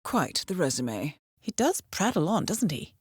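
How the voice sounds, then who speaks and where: Female mission handler, cool and wry, lowish voice, lively intonation, formal briefing, video game, very dry close sound. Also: a little slow, breathy edge, dry recording, exaggerated voice. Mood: dry amusement. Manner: composed, formal, dryly witty.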